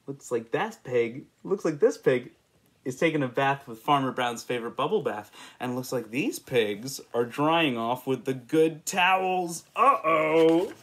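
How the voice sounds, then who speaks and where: A man in his twenties with an American accent reads a picture book to young children in a playful, expressive way, asking the listener questions. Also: mid-range voice, a little slow. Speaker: children's story reader. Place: indoors, small room in front of a patterned cloth.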